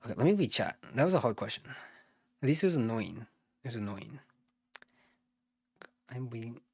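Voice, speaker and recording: A man's voice: medium pitch, lively intonation, brisk, gravelly, darkish tone, slightly rough, no echo, studio quality. Man muttering through math problems. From United States. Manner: muttering, self-correcting, thinking aloud. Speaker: SAT tutor streaming live. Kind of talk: live think-aloud math walkthrough.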